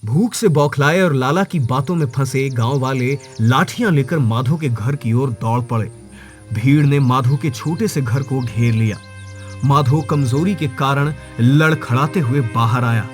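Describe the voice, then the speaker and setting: A man's voice: medium pitch, measured pace, faint music behind. A man reading a scripted moral story as its narrator, in a dramatic storytelling style.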